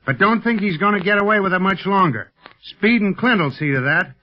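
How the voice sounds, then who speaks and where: An actor playing a plucky, slangy pilot sidekick held captive, folksy in 1930s radio serial style. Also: male voice, high-pitched, lively intonation, a little fast. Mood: defiant. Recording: muffled old 1930s radio recording.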